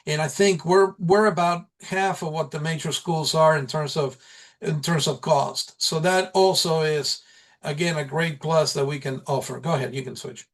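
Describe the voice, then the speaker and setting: Man, slightly high voice, informal meeting presentation, instructor explaining a program, halting and repetitive phrasing. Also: steady tone.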